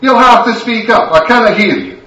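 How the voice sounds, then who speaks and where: A man's voice: medium pitch, lively intonation, slow, a bit bright, roomy sound, distant mic, dull audio. A man voicing a bossy, scolding Scottish grandmother who is a wine god, with a Scottish accent. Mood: berating.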